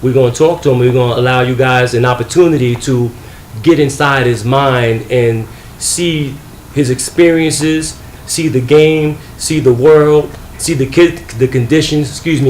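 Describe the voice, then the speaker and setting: A man interviewing a guest in the guest's home, speaking earnestly with many pauses and fillers. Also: medium pitch, a little mellow, roomy sound, slight background noise, poor audio. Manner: measured, filler-heavy, earnest. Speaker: interviewer, magazine publisher. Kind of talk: interview hosting.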